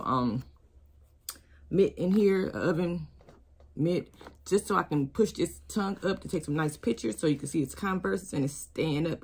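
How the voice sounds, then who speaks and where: A woman's voice: sits low, a little mellow. A woman, chatting casually to viewers as she demonstrates shoe decorating in her craft studio. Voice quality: slightly raspy. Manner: chatty, talks to viewers as y'all. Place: craft studio with sewing and embroidery machines. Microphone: camera over worktable.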